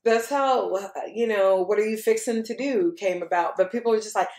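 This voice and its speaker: A woman talks in a casual podcast chat, emphatic and animated, laughing easily between points. Her voice sits at an ordinary middle pitch. It rings a little in an echoey room. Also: a little fast. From Louisiana. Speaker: podcast host.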